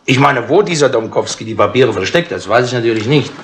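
An actor playing a wry, cajoling police inspector in a 1960s radio crime play, talking in a sardonic, persuasive way. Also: male voice, medium pitch, animated, quick pace, a bit bright, close to an everyday voice. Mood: brisk, businesslike.

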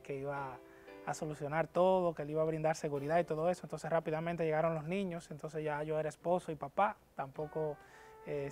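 Dominican man in his thirties, fairly high voice. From Dominican Republic. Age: 30s. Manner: hesitant, many fillers and restarts. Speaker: guest. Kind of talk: personal testimony to camera. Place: TV studio, dark backdrop. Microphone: lapel mic.

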